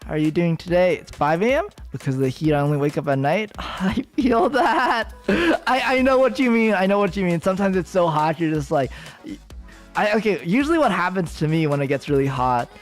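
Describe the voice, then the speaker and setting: Young american guy streaming, kinda high voice, giggly rambly chat, faint music behind. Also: animated, a little fast. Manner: rambling, giggly, lots of fillers and restarts. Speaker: VTuber streamer. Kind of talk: casual livestream chat with viewers.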